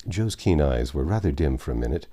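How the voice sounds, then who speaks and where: A man's voice: very deep voice, expressive, fairly quick, mellow. A man reading a classic novel aloud as an audiobook narrator, in a scripted literary style.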